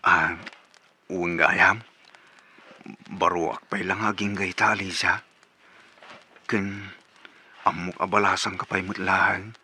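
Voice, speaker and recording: A man acting a lovelorn, hapless young suitor in an Ilocano radio comedy drama, with an Ilocano accent and a plaintive, expressive delivery. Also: sits low, slow, long pauses, slight background noise, heavily acted. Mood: earnest, courting. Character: lovelorn grown son, hapless and eager suitor.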